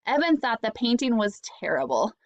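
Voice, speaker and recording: A woman's voice: on the high side, sing-song, normal speed, no room sound, highs cut off. A woman, an elementary school teacher, reading a children's storybook aloud expressively, lingering on key words.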